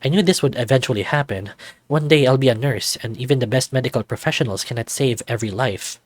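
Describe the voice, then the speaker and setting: A man's voice: mid-range voice, fast, no room sound, natural voice. A man voicing an earnest, affectionate young male deer in a visual novel, sincere and plain-spoken. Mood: tender, reflective.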